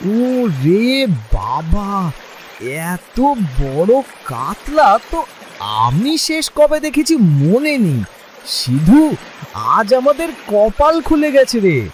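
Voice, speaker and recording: Guy doing a loyal cartoon village fisherman, exclamatory, slow, swoops around, bit of background noise. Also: high-pitched, huge pitch swings, heavily acted. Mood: excited. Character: loyal, anxious village fisherman and devoted friend. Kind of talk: animated moral story acting.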